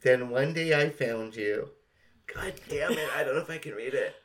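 A man's voice: medium pitch, moderate pace, fairly bright tone. A man co-hosting a casual chat show with readings of poems, easily moved and prone to breaking up. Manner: emotional, breaks up laughing and tearing up. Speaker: podcast co-host.

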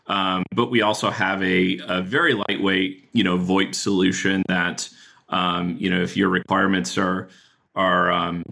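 Low, gravelly male interview guest. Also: level tone, a little slow, sharp tone. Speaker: company product executive, podcast guest. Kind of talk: conversational explanation in an interview.

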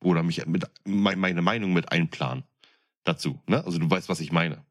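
A man's voice: low-pitched, moderate pace. A man chats as a podcast co-host. He is blunt and opinionated, and he often restarts or repeats words in casual conversation. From northern Germany. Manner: blunt, opinionated, stumbling repetitions.

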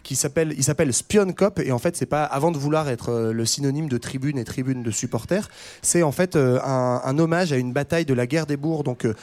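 A man explaining history in a relaxed podcast conversation, with many fillers and restarts. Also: mid-range voice, fairly quick. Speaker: podcast co-host. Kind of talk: casual history discussion.

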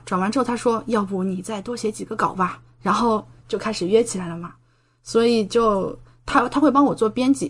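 A woman guest on a podcast, talking casually and explaining things in a talkative way with rhetorical questions and quoted exchanges. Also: medium pitch. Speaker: podcast guest, medical doctoral student and science writer.

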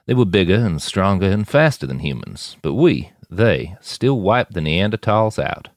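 A commanding male mercenary boss, acted out by a man reading an audiobook. He has a very deep voice and a folksy, self-assured way of holding forth. His pitch moves freely, and the sound is dry and close. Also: very animated, moderate pace, no echo. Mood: musing, superior.

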